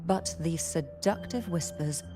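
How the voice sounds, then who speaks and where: Game noblewoman , acted by a woman: lowish voice, calm, knowing, measured delivery over clear music. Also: lightly acted. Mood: solemn recounting. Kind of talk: scripted video game voice acting.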